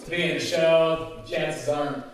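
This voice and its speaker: A man's voice: on the high side, a little slow, close to an everyday voice. A man playing a naive, earnest young aspiring actor in a live old-time radio comedy. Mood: eager, crestfallen. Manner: earnest, excitable. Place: community center, live stage show. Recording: echoey hall, distant mic, faint noise.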